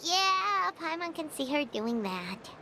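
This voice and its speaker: A woman voicing a tiny, blunt, childlike girl companion in a video game, squeaky and exclamatory. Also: big pitch swings, slow pace, noisy background, heavily acted. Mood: reassuring. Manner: refers to self in third person, exclamatory. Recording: music behind the voice. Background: game music.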